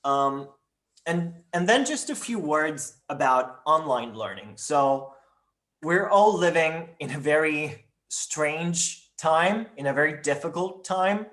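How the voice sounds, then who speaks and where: A man presenting university admissions information in a webinar over a video call, speaking in a measured way with frequent ums and uhs. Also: fairly high pitch, expressive, a bit bright.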